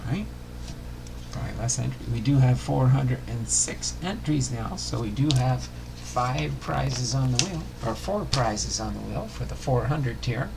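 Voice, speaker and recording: Clear background noise sits under an older man's gravelly, creaky voice. He hosts a casual livestream, rambling along in a folksy, unhurried manner. His pitch sits around the middle for a man. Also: expressive. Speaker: livestream host.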